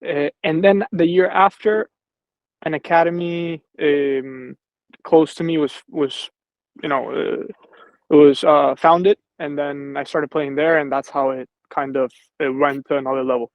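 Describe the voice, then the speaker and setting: A man's voice: a little high, steady tone, a little slow, no room sound, highs cut off. A man in his twenties from Venezuela, reflecting easily on his football career as an interview guest. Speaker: professional football player, guest. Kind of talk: casual interview.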